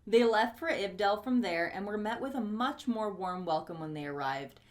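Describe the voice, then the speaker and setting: A woman's voice: mid-range voice, expressive, moderate pace. A woman from Bosnia, English not her first language, co-hosting a true-crime podcast and reading from notes with brief dry asides. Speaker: podcast co-host.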